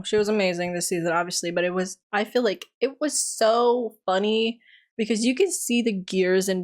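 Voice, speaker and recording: A young woman giving an animated, exasperated review of a TV series in a casual vlog, her voice tiring. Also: medium pitch. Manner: animated, exasperated, dramatic outbursts. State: voice getting tired.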